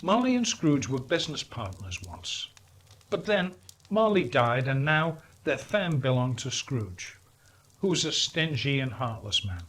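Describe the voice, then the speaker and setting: Animated, slow-paced male storyteller. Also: medium pitch, husky. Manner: deliberate, theatrical emphasis. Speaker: story reader. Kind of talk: dramatic storybook narration.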